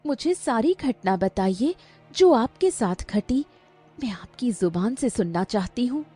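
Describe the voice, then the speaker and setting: An earnest young village woman in a cartoon folk tale, acted by a woman narrator, pleads and questions with lively pitch swings. The voice is mellow, over faint music. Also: measured pace, slightly rough. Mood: earnest, pleading.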